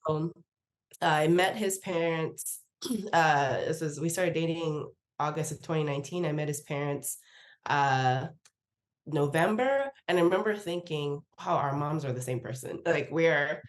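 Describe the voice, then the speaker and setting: A woman from Nigeria, a guest on a podcast, telling a story in a chatty, animated way, laughing often and acting out what people said. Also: deep voice, unhurried. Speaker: podcast guest, research professor. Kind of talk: casual interview storytelling.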